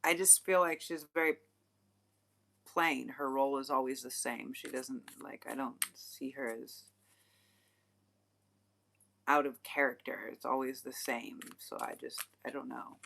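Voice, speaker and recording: Female tarot reader, low and bright-toned, rambling, frank, swears casually. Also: fairly even intonation, unhurried, gravelly, pauses often, a little hiss or hum. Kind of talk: casual livestream tarot reading.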